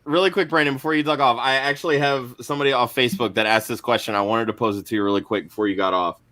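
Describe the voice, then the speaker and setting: A man's voice: medium pitch, fairly even intonation, very fast, pushed and bright. A man co-hosting a casual podcast chat, speaking in a gushing, enthusiastic way. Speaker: co-host.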